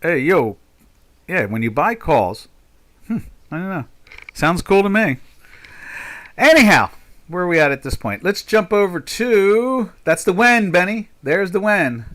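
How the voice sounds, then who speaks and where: A man's voice: high-pitched, big pitch swings, unhurried, pauses often, fairly bright tone. A man in his sixties from South Jersey, with its accent, hosting a live trading chat and thinking aloud in a rambling, chatty way with lots of fillers. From Pinelands of South Jersey. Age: 60s. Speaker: market trader and stream host.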